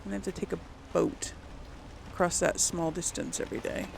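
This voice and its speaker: Chatty woman with a creaky voice. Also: lowish voice, lively intonation, a little fast, noisy background. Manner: chatty, musing aloud. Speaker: gaming video host. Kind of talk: casual let's play commentary.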